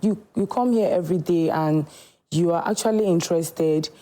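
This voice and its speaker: A woman from Nigeria, a shoemaker guest telling her story in a TV studio interview, earnest and unhurried. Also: fairly low pitch, warm tone, low-quality recording.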